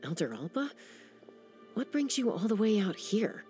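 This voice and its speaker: A woman's voice: medium pitch, very animated, moderate pace, dark tone, faint music behind, natural voice. Woman playing a blunt tough gunslinger. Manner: blunt, commanding, clipped questions. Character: tough young female gunslinger interrogating a prisoner. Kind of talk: game cutscene voice acting.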